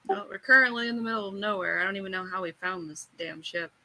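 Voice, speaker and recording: A woman's voice: medium pitch, quick pace, sharp tone, rough recording. A woman playing a tabletop game, chatting casually with the other players.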